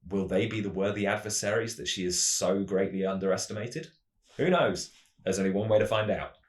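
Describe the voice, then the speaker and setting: Male tabletop game presenter, low-pitched, measured explanatory storytelling, roomy echoing sound. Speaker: tabletop roleplaying game channel host. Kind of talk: semi-scripted explanatory narration.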